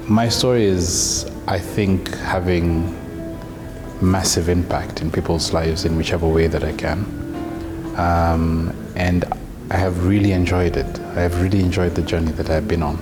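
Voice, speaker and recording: A man, telling his story in a halting, reflective way. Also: sits low, little pitch movement, music in the background. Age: 30s. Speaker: interviewee, social entrepreneur. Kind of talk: personal profile interview.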